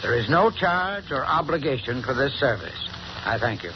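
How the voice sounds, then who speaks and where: A man giving an earnest, instructive sponsor pitch on a 1930s radio show. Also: big pitch swings, normal speed, creaky. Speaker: sponsor's heating expert. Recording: noisy dull 1930s radio recording.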